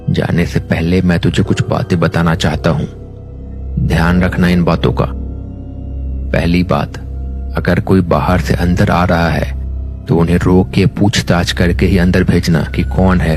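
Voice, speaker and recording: A stern, secretive older village uncle, as a male narrator plays him, laying down rules in a very deep, low voice. He speaks firmly and matter-of-factly. Loud music runs under the horror cartoon. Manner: instructing, firm, matter-of-fact.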